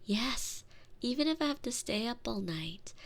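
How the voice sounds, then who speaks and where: A woman acts out a tender, protective girlfriend in intimate binaural ASMR. Her pace is slow and unhurried, with a crackly fry at the edges and pitch that swings softly up and down. She comforts and dotes, often sinking into a whisper. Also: expressive, full character voice. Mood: tender reassurance. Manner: soothing, doting, breathy murmurs dropping often into whispers. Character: tender, protective, gently dominant girlfriend soothing her frightened partner.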